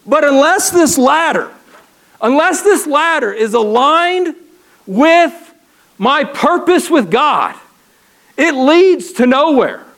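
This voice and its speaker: A man preaching a sermon from a church stage, animated and full of rhetorical questions and repeated phrases. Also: extremely high pitch. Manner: animated, acts out people's inner voices. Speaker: pastor. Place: church stage with a ladder prop.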